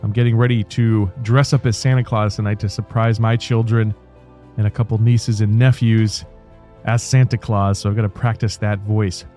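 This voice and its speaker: A man hosting a radio interview show, chatting casually and warmly with his guest, with fillers and restarts. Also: on the low side, little pitch movement, normal speed, faint music behind.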